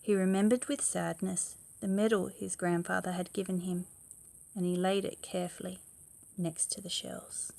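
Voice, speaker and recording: A woman's voice: fairly low pitch, level tone, normal speed, creaky, warm tone. A woman reading a picture book aloud as a bedtime story, stressing words expressively and putting questions to the young listener.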